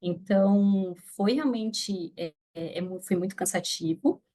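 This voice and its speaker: A woman's voice: medium pitch, a little slow, dark tone. A woman with a Brazilian Portuguese accent, a podcast guest speaking earnestly and reflectively, with hesitant restarts. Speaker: guest, affiliate marketer. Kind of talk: casual podcast interview.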